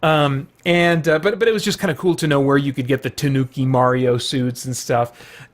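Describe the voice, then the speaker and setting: Man, slightly high voice, casual vlog host, chatty, meandering, self-interrupting asides. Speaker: video host showing a collection. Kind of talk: casual rambling vlog chat.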